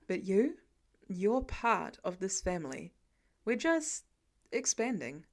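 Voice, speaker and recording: A woman's voice: medium pitch, big pitch swings, slow pace, voice pushed down, heavily acted. A woman voicing a firm, affectionate, wisecracking father in an audiobook-style fan fiction reading. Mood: firm, reassuring. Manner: firm, casual, teasing.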